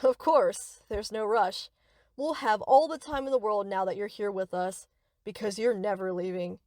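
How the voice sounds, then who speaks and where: A woman's voice: medium pitch, moderate pace, a little mellow, no echo, close to an everyday voice. A woman playing a young yandere captor in a scripted roleplay, speaking to the listener with cutesy, rambling, possessive affection. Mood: sweetly possessive. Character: sweet, obsessive yandere best friend, young woman captor.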